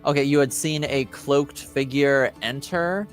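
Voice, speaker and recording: A man running a tabletop game as game master, narrating conversationally and often pausing to repeat or rephrase himself. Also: mid-range voice, faint music behind.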